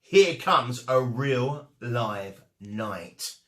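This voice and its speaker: A man's voice: mid-range voice, animated, very slow, roomy sound, voice pushed down. A man voicing a bossy, encouraging female dragon schoolteacher in a storybook, with a grand, declamatory delivery.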